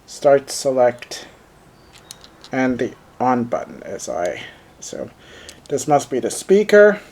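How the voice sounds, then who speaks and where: A man's voice: medium pitch, sing-song, takes it slowly, darkish tone. A man whose first language is German, speaking English with a German accent while unboxing and reviewing a handheld, thinking aloud with many fillers. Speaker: gadget reviewer.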